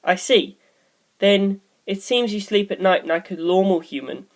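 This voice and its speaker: Lady playing a young guy detective in a storybook, logical, careful questions, mid pitch. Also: unhurried, close to an everyday voice. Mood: inquisitive. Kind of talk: audiobook character dialogue.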